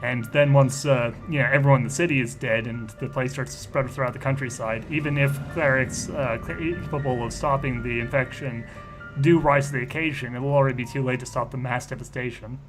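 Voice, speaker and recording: A man's voice: medium pitch, fairly even intonation, fairly quick, soft background music, low-quality recording. A man speaking casually and informally in character during a tabletop role-playing game.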